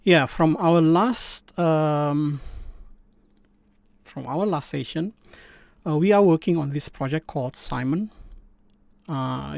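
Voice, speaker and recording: Indonesian-accented man with a gravelly voice. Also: fairly high pitch, darkish tone, dry recording. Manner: halting, many fillers and restarts. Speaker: live stream host. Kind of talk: casual coding stream chat.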